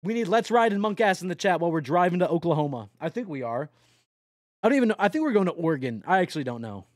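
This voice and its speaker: Young male streamer, high and lively, casual and profane, talking to chat over a truck game, dry close mic. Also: quick pace, dry recording. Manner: excitable, swears often, yelps and laughs; addresses chat directly. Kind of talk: casual live-stream chatter while playing a truck driving game.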